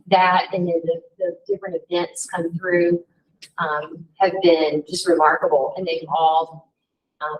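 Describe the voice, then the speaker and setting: A woman gives an eager hotel update in a slightly hoarse, fairly low voice. She is caught by a distant mic in a meeting room. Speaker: hotel manager. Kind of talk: informal update.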